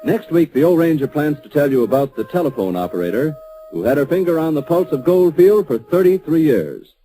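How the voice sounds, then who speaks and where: A man's voice: on the high side, big pitch swings, a little fast, dark tone, faint music behind, low-quality recording. A man reading a formal, polished sponsor announcement in 1940s radio announcing style. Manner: formal, polished commercial read.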